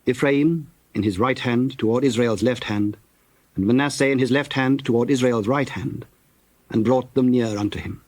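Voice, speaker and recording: A man narrates a dramatized scripture text. His medium-pitched voice is measured and solemn, like formal storytelling. The sound is dry, with no room around it. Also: darkish tone, no echo.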